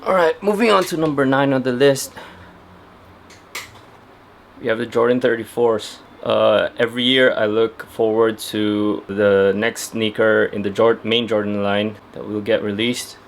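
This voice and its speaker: A man's voice: fairly low pitch, fairly even intonation, measured pace, vocal fry, a little hiss or hum. A young man talking casually to camera at home about his sneakers, chatty and laid-back with lots of fillers. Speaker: sneaker vlogger. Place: at home indoors.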